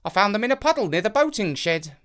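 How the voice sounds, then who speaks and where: A cocky, chirpy male crow from a children's storybook, as a narrator puts him on. He speaks high above the storyteller's own voice with big swoops. He sounds perky and sure of himself. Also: big pitch swings, fast, fairly bright tone. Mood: helpful.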